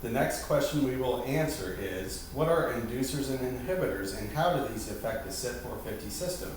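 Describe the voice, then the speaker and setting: A man's voice: medium pitch, animated, normal speed. A man giving a scripted, formal lesson as an instructor, stumbling now and then over technical terms. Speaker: instructor leading a staged class. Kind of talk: scripted lesson presentation, reading aloud. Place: reverberant indoor room, distant microphone. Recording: very echoey room, far mic, loud background hiss.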